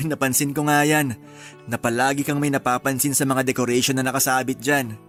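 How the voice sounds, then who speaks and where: Actor as easygoing Filipino husband, medium pitch. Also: male voice, flat delivery, a little fast, fairly bright tone, faint music behind, natural voice. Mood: affectionate, approving. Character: easygoing, agreeable young husband devoted to his mother. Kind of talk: Filipino radio drama dialogue.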